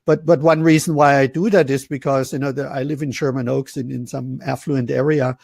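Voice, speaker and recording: A man from Germany hosts a webinar over a video call, drifting through rambling thoughts and breaking off mid-sentence. His voice is gravelly and husky, and the sound is close and dry. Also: medium pitch, fairly even intonation, fairly quick, a little mellow, no echo. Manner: rambling, self-interrupting, chuckles. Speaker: host. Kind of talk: casual webinar chat.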